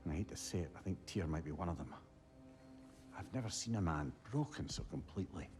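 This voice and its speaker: A man's voice: low voice, lively intonation, fast, a little mellow, soft background music. A man playing a wry, kindly, candid older companion in a video game, folksy in manner. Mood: concerned, candid. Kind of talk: dramatic video game acting.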